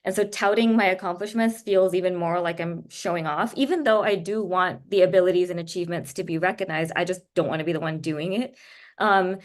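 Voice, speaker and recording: Thoughtful, slightly low-voiced woman. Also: brisk. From Japan. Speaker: guest speaker, writer and editor. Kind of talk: explanatory talk in an online interview. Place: indoors on a video call.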